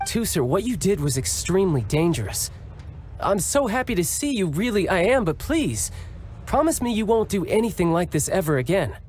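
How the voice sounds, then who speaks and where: A man's voice: high voice, very animated, normal speed, slight background noise. A man voicing a charming, confident young warrior and doting big brother who talks suavely and playfully in a video game. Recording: game audio with loud music.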